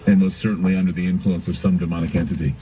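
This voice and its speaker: Tinny, low-voiced American radio host. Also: male voice, level tone, very fast, mellow, slight background noise. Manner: rambling, conversational, repeats phrases. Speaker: radio talk show host. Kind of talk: call-in radio storytelling. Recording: tinny, narrow-band radio sound.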